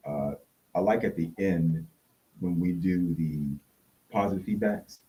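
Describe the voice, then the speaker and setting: A man's voice: low voice, a little slow, dark tone. A man co-hosting a talk show, chatting casually. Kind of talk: casual chat. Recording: distant microphone.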